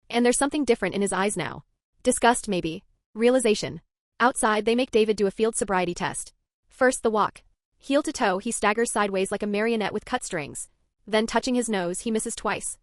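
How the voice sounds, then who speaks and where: Woman reading a tense story out loud, dry close mic, normal pitch. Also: no room sound. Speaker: narrator. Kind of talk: first-person scripted story narration.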